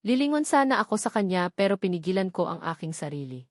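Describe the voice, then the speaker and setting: A woman's voice: on the low side, very animated, fast, a little mellow, no echo, clean studio sound. A woman with a Filipino accent reading a scripted first-person romance story as an audiobook narrator.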